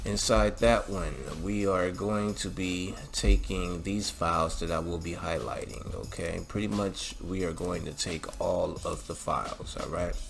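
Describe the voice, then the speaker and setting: A man's voice: deep voice, fairly even intonation, unhurried, gravelly, few pauses. A man narrating a casual step-by-step tutorial as a channel host, with an informal, instructional way of talking. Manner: informal, instructional, often tagging phrases with "all right" and "okay". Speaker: host of a game-modding channel. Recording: music playing behind the voice. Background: background music.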